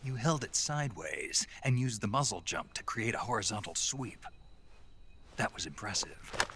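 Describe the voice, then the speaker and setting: A man playing a terse, guarded special-forces soldier in a video game, with a gruff, gravelly growl. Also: lowish voice, lively intonation, normal speed, bright, forceful tone, a little hiss or hum. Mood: impressed, absorbed. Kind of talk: dramatic video game voice acting.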